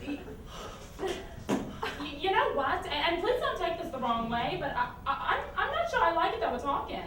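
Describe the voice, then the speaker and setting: A woman playing a wary, awkward therapy patient in a comedic stage play, halting and repeating her words. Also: very high voice, very animated. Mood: awkward, uneasy. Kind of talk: comedic live stage acting. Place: small college theater stage, distant camera. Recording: distant, very echoey, noisy stage recording.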